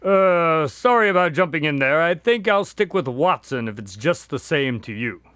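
An actor playing an earnest, slightly puzzled doctor sidekick in a radio drama, plainspoken, polite and a bit hesitant. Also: male voice, a little high, expressive, moderate pace, fairly bright tone, clear, resonant tone, dry recording. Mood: mildly bemused. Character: earnest, mildly puzzled male doctor, detective's practical sidekick.

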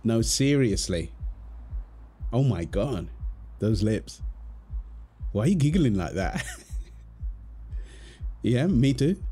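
A man's voice: mid-range voice, big pitch swings, takes it slowly, long pauses, dark tone. A young man acting out an ASMR boyfriend roleplay in a casual, conversational way. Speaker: audio roleplay performer. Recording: music behind the voice. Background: music.

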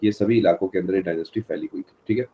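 A man's voice: deep voice, racing, highs cut off. A male teacher with a sore throat giving a live exam-prep lecture, answering students from the chat by name and mixing in English terms.

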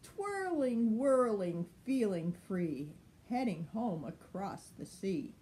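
A woman's voice: mid-range voice, big pitch swings, slow, warm tone. A woman in her sixties reading a children's picture book expressively, lingering dramatically on words. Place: sunny room at home by large window.

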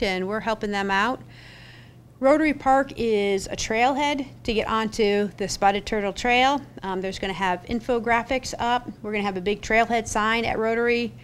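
A woman's voice: fairly high pitch, fairly bright tone, a little hiss or hum. A middle-aged woman giving an upbeat, chatty parks update at a public board meeting, speaking at a podium mic in a council chamber, with many fillers. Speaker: parks staff presenter. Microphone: podium gooseneck mic.

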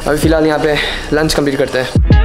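A young man from India narrating a casual travel vlog, excitable and full of exclamations, mixing English words into his Hindi. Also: fairly high pitch, fast, over music. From Silchar, India. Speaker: travel vlogger.

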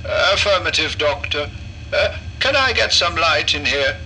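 A man voicing a gravelly, chatty patient. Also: a little high, expressive, a little slow, bright, forceful tone, slight background noise, full character voice, poor audio. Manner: rambling, chuckling storyteller. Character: chatty, apple-obsessed middle-aged male patient. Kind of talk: horror audio drama.

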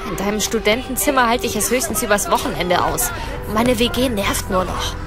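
A woman's voice: mid-range voice, a little fast, over a noisy crowd, lightly acted. A young actress playing a sceptical, sarcastic young mother in naturalistic audio drama dialogue. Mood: dry, teasing. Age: young adult. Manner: dry, teasing sarcasm. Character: sceptical, sarcastic young mother apartment-hunting with her boyfriend. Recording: studio audio drama with music underneath.

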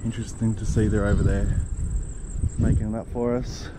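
A man in casual travel-vlog chat, showing the grounds and food in a relaxed, chatty way. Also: fairly quick. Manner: relaxed, chatty, talks to animals in a higher playful voice. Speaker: travel vlogger. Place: outdoors in tropical resort grounds. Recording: outdoor noise with crickets and insects.

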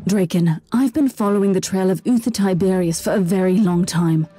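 A woman's voice: medium pitch, brisk, mellow, soft background music, natural voice. An actress playing a stern, righteous, unyielding female inquisitor in a video game cutscene. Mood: demanding.

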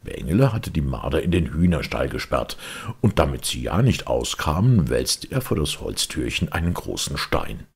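A man reading a children's story as an audiobook narrator in an expressive, scripted style. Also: a bass voice, moderate pace, vocal fry, slightly rough.

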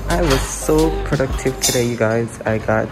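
A woman's voice: a bass voice, normal speed. A woman vlogging casually to camera, chatty and upbeat, calling her viewers "you guys" as she walks the streets and sits in small cafes. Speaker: travel vlogger. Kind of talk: casual vlog chat to camera. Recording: loud background music over the voice. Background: loud music.

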